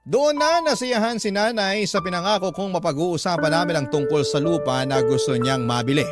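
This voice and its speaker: A man's voice: on the high side, brisk, close to an everyday voice. A man with a Filipino accent performing scripted first-person narration of a burdened young breadwinner for a radio drama. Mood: burdened, reflective. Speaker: narrator reading a letter-writer's story. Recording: loud music under the voice. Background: prominent background music.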